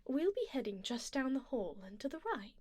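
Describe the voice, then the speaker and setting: A woman playing an aristocratic, predatory yet tender vampire duchess in an ASMR roleplay, speaking softly and formally and slipping into whispers. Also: high-pitched, very animated, a little fast, no echo. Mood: poised, doting. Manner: refined, formal, gently commanding.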